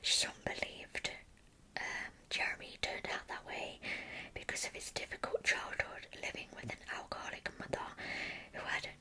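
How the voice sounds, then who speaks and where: A young adult woman whispers in a breathy, soft-spoken, informal way as a true crime ASMR storyteller, close to the microphone. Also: moderate pace, poor audio.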